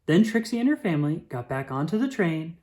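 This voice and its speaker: Female storyteller for picture-book read-aloud: low register, highly animated, dramatic emphasis. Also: brisk. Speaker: children's book reader.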